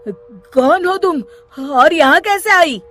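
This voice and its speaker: A woman voicing a stern, easily alarmed village father in a cartoon story, speaking loudly. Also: extremely high pitch, animated, slow, soft background music, voice pushed up, exaggerated voice. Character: startled, stern village father, adult man.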